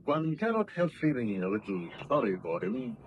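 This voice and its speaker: An actor playing a kindly, courteous ship's captain, formal and measured, in 1930s radio drama style. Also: male voice, medium pitch, huge pitch swings. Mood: sympathetic. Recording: dull lo-fi old radio broadcast, faint noise.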